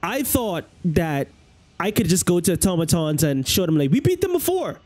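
A young man with a Bahamian accent talks to his stream viewers through a headset in a small room at home. His voice sits fairly high, and he rambles casually, repeating phrases as he wraps up. The tone is relaxed and conversational. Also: normal speed. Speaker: game streamer. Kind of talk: casual livestream chat with viewers.

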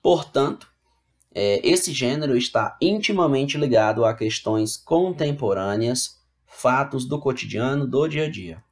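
A man's voice: mid-range voice, lively intonation, measured pace. Male teacher explaining slides casually. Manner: explains casually, checks in with "né?" and "tá bom?"; reads slide text aloud, then rephrases it in plain words. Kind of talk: online class lecture over slides.

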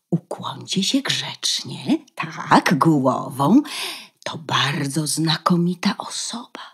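A woman playing a proud, protective mother duck in a fairy-tale radio play, bossy and caring as she instructs, with a husky, breathy voice. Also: on the low side, exaggerated voice. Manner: instructing, measured, emphatic.

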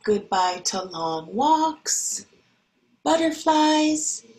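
A woman teacher reading a picture book and talking to young children in an expressive, deliberate, child-directed way. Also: high voice, rough recording. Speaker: schoolteacher reading to pupils. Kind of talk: picture-book read-aloud and talk to children.

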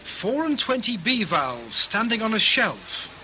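Man as high-voiced, eager 1920s radio engineer. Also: big pitch swings, measured pace, bright, forceful tone, a little hiss or hum, exaggerated voice. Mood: playfully declamatory. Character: eager 1920s radio engineer reciting comic technical rhymes. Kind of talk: radio play acting.

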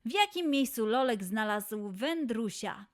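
High-voiced, unhurried woman hosting for kids. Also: lively intonation. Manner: encouraging, clearly addressing young listeners. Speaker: host of children's quiz show. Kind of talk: playful scripted quiz presenting for children.

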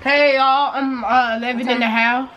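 High-voiced young woman heard from across the room. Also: steady tone, a little slow, fairly bright tone, dull audio, slight background noise.

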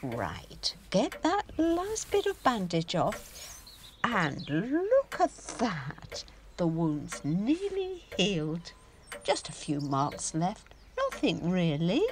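A woman's voice: fairly low pitch, huge pitch swings, slow pace, noisy background, full character voice. An actress playing a vain, dramatic, self-pitying mother who is a stage actress, in a theatrical, emphatic audio drama style. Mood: tender, fussing.

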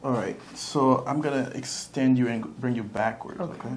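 A man's voice: mid-range voice, moderate pace, a little hiss or hum. A middle-aged man working as a chiropractor, casually talking a patient through an assessment and checking in often. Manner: checks in often with short questions. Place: small treatment room, indoors.

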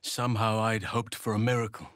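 Guy doing a big gentle druid for a fantasy game, kinda deep, thoughtful n formal, earnest, super dry recording. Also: flat delivery, no echo, lightly acted. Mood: pensive. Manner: measured, formal, thoughtful phrasing. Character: big gentle male druid, wise, earnest nature lover.

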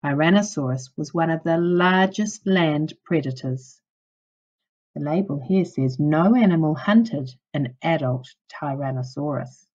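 A woman primary teacher reading a non-fiction book aloud to young children, with clear, instructive phrasing. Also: low voice, a little slow, a little mellow, muffled recording.

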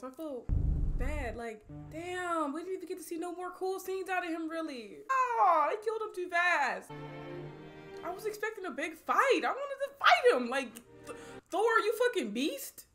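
A young American woman giving a casual, emotional movie reaction in an expressive, slangy way, full of exclamations. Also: very high-pitched. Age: young adult. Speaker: movie reaction video host. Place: small home streaming room, indoors. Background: film soundtrack and dialogue.

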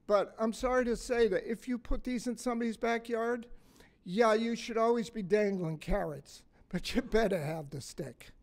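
An older man in his sixties gives a conference talk at a podium mic, his voice slightly raspy and pitched high for a man. He speaks bluntly and folksily, joking and putting questions to the room. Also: normal speed. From Massachusetts, USA. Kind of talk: conference talk to a live audience. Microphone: stand mic on a podium.

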